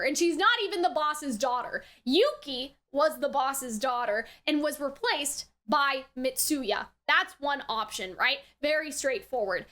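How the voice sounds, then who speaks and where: High-pitched woman giving casual review commentary. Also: fairly bright tone. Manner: rambling, theorising aloud, frequently checks with "right?" and "you know". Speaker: reaction video host.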